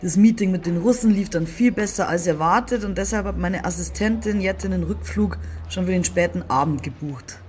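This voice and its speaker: A woman's voice: lowish voice, brisk, few pauses, a little mellow, slight background noise, lightly acted. A young woman playing a matter-of-fact businesswoman daughter in an audio drama, composed and reassuring. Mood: composed, dismissive.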